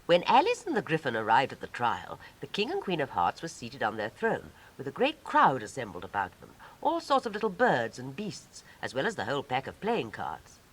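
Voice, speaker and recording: A woman's voice: rumbling low, huge pitch swings, moderate pace, hardly any pauses, slightly rough, rough recording. A woman with a British Received Pronunciation accent reading scripted storybook narration in a 1950s style.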